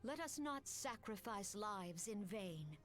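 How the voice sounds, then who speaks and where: A woman playing a solemn, composed elven leader in a video game, speaking formally and gravely. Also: mid-range voice, expressive, slow pace, faint music behind. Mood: grave, pleading. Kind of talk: dramatic video game acting.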